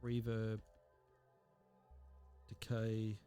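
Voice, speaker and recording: A man teaching a music software tutorial, thinking aloud step by step with frequent ums. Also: fairly low pitch, completely flat delivery, very slow. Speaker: music technology instructor. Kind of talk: screen-recorded software tutorial.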